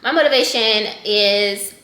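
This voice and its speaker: A woman in her thirties talks through a casual check-in with a bright, forceful voice. She chats loosely, corrects herself mid-thought and speaks straight to her viewers. The sound carries a roomy echo. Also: medium pitch, measured pace. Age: 30s. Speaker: personal finance vlogger.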